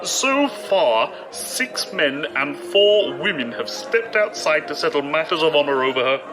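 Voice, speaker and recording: An actor playing a deferential manservant to a noblewoman in an audio drama, formal, measured and dry. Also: fairly high pitch, sing-song, music in the background, low-quality recording.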